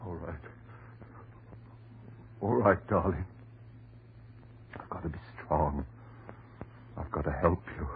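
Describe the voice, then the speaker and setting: A man's voice: a bass voice, slow pace, vocal fry, pauses often, narrow, tinny audio, full character voice. An actor playing a distraught, desperate husband devoted to his wife, in melodramatic, pleading 1930s radio horror style. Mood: feverish, devoted. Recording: noisy, band-limited 1930s radio recording.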